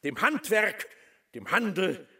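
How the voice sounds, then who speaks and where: An actor playing a pompous provincial official who delivers a flowery, declamatory speech in a radio drama. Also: male voice, high-pitched, big pitch swings, takes it slowly, pushed and bright, recorded from a distance, heavily acted. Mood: grandiose. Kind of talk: public oration in radio drama.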